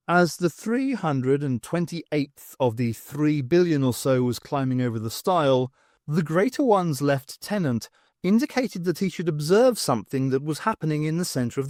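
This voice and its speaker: A man narrating an audiobook in a measured, unhurried storytelling manner, close to the mic. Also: fairly high pitch, darkish tone, no room sound.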